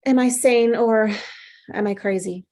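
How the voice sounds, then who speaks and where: A woman playing a composed, probing psychiatrist in a screenplay table read, speaking in a deliberate, clinical way. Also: a little high, dark tone, close to an everyday voice. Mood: calm, authoritative. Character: composed, probing female psychiatrist, coolly authoritative.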